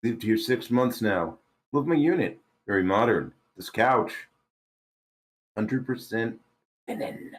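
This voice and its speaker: Guy doing a nervy eager twentysomething sitcom dude, halting and emphatic, pitch jumps around. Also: lively intonation. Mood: upbeat, defensive. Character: anxious, eager young man haunted by a ghost roommate. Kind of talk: live amateur comedy screenplay read.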